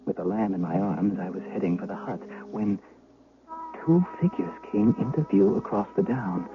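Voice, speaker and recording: A man's voice: fairly low pitch, animated, normal speed, lightly acted. An actor playing a frightened, servile young shepherd boy in an old-time radio drama, anxious and haunted. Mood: haunted, dread. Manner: stammering, hesitant when questioned. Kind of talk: old-time radio drama acting, narrating his own tale. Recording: muffled vintage 1970s radio broadcast.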